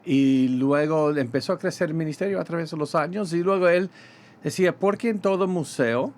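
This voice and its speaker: A middle-aged man with an American accent tinged with Mexican Spanish, speaking as a pastor guest in a radio interview, halting and full of fillers. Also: fairly high pitch. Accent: American with Mexican Spanish touches. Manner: halting, many fillers and restarts.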